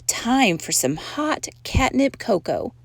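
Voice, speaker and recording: A woman's voice: on the high side, big pitch swings, takes it slowly, no echo, studio quality, exaggerated voice. A woman voicing a doting but firm mother cat in a storybook, coaxing and singsong.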